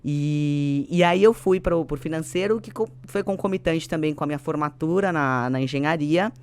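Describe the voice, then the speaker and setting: A woman of around forty with a Brazilian accent, telling her story conversationally as an interview guest, expressive and fond of tag questions. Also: sits low, moderate pace, clean tone. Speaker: podcast guest, company executive.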